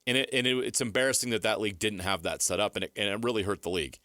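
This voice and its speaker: Bright-voiced American man, firm and low-pitched. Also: racing, no echo, studio quality. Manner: emphatic, firm, repeats points. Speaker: sports talk show commentator. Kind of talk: opinionated sports talk.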